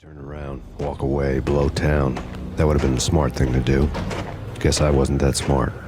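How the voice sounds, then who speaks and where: Deadpan deep noir cop narrator. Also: male voice, normal speed, over music. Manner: gravelly, deadpan monotone.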